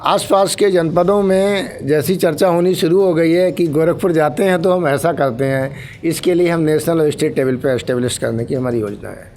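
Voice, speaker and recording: A man's voice: on the high side, normal speed, hardly any pauses, a little mellow. A man, a police officer, giving formal, earnest explanations peppered with English terms in a studio interview. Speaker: senior traffic police officer, interview guest. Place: radio studio.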